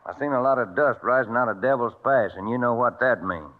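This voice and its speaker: A man's voice: mid-range voice, a little fast, lightly acted. Man playing a cautious drawling frontier sheriff. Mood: worried. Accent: American Western drawl. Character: cautious, worried small-town frontier sheriff. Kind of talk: 1950s radio western acting. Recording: dull old radio recording.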